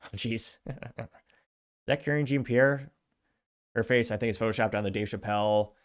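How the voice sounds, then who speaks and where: A man's voice: lowish voice, moderate pace, clear, resonant tone. A man hosting his own show, reading social media posts aloud with chatty asides in casual news commentary. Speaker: show host.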